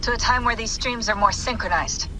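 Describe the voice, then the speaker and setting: Brisk bright-voiced technician. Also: female voice, fairly high pitch, soft background music, low-quality recording. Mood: calm. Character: calm female technician monitoring a simulation. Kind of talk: video game cutscene acting.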